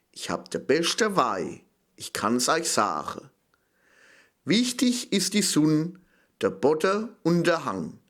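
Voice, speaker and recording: A man with a Palatinate German accent reciting a dialect poem as a little grapevine, in deliberate sing-song short phrases. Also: mid-range voice, a bit bright. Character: little grapevine, proud, affectionate, a bit fearful.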